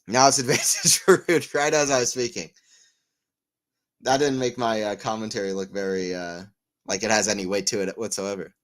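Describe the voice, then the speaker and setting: Male watchalong commentator, mid-range voice, relaxed chat between points that jumps into excited calls. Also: medium pitch. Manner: excitable on rallies, rambling and self-mocking between points. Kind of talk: live play-by-play and casual chat.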